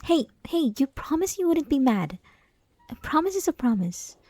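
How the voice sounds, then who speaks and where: Woman acting a soft, high-voiced clingy yandere schoolgirl. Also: sing-song, no echo. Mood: nervous, pleading. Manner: coy, teasing, pleading, halting, often dropping to whispers. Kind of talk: ASMR roleplay, intimate scripted monologue.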